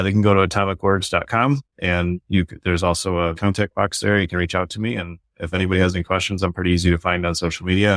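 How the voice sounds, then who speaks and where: A man's voice: deep voice, dry recording, clean studio sound. A man guest author on a podcast, speaking in an earnest, motivational way with long flowing sentences. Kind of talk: podcast interview talk.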